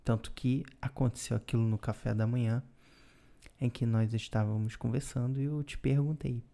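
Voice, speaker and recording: A man, co-hosting a podcast and explaining things in a calm, casual way, often ending with a questioning tag. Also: medium pitch, moderate pace, vocal fry. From Brazil. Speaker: podcast co-host. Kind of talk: casual conversational storytelling.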